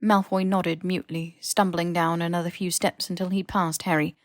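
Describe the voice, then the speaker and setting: A woman reading fiction aloud as an audiobook narrator, in an expressive scripted storytelling style. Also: fairly low pitch, normal speed, no echo, clean studio sound. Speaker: podfic narrator.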